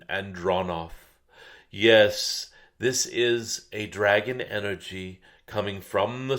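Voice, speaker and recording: Casting: male performer as an ancient, benevolent dragon spirit; lowish pitch, very unhurried, solemn channeled address with pensive hums. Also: fairly bright tone. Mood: reverent, benevolent. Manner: measured, deliberate, frequent pauses and thoughtful hums.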